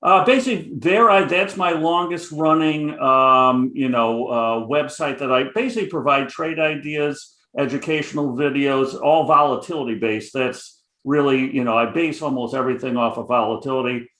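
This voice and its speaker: A man's voice: mid-range voice, normal speed, hardly any pauses, sharp tone, roomy sound. A middle-aged man with a Chicago accent, a guest options trader talking casually, rambling with many fillers. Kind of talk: casual interview chat.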